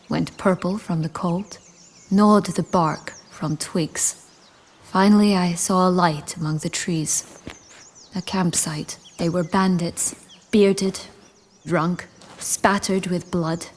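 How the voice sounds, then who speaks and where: An actress playing a proud, bitter banished noblewoman turned bandit leader, wry and measured, in a fantasy game. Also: on the low side, fairly even intonation. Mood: bitter, reflective. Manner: wry, measured, dramatic storytelling. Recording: faint ambient noise behind.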